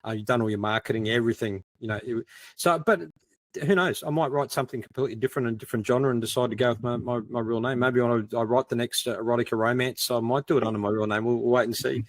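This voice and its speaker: A man's voice: mid-range voice, steady tone, fast talker, no room sound. A middle-aged man, chatting casually and telling anecdotes in a self-deprecating way. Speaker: podcast co-host and crime writer.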